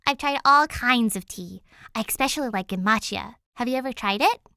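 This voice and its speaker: Woman voicing a bright, eager young girl. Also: a little high, brisk, clean tone, no echo, studio quality, voice pushed up. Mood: eager, chatty. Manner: enthusiastic, earnest, playful.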